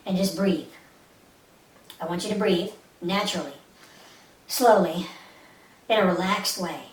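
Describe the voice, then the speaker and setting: A woman's voice: lowish voice, measured pace. A woman leading a guided meditation as an instructor, speaking in a soothing, instructive way with short repeated phrases. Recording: roomy, distant mic with faint hiss.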